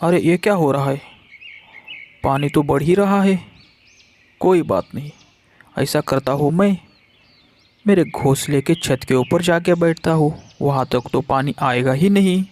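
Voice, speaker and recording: A man's voice: on the high side, a little slow, long pauses, darkish tone, slight background noise, close to an everyday voice. A man voicing a hapless male crow who grumbles aloud to himself in a children's cartoon. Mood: startled, self-soothing. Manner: exclaiming, complaining aloud to himself. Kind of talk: Hindi children's cartoon voice acting.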